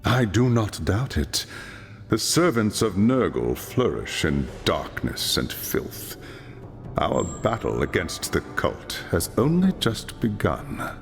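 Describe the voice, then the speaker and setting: A grave elder elf lore master. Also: male voice, on the low side, sing-song, gravelly, full character voice. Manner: grave, commanding, measured. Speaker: quest giver. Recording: reverberant with game music behind.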